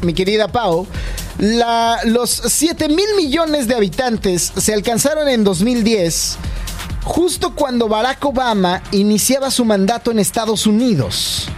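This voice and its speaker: A man hosting a radio show, chatting in a jokey, slangy, animated way. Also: very high-pitched, a little slow, faint music behind. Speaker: radio host. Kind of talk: casual radio chat.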